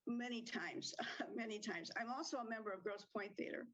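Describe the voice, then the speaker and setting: A woman's voice: fairly high pitch, moderate pace, fairly bright tone. An older woman who directs community theatre, answering interview questions in a chatty way with ums and small laughs.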